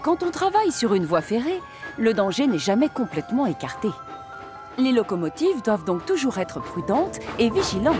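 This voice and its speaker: A woman's voice: fairly high pitch, very animated, normal speed, over music. A woman reading scripted narration for a children's cartoon story as its narrator.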